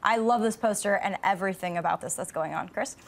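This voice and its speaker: A woman's voice: medium pitch, quick pace, creaky. A woman hosting a casual panel discussion in an enthusiastic, conversational way. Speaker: host of a movie news panel show.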